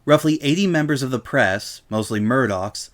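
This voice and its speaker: A man's voice: mid-range voice, animated, moderate pace. A man reading scripted story narration as the narrator of a dramatic reading.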